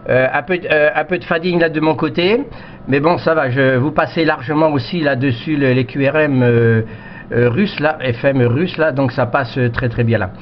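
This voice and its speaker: A man chatting casually as an amateur radio operator, in halting, repetitive phrases over a radio. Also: medium pitch. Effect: radio transmission. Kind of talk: casual radio contact chat. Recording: dull, noisy radio audio.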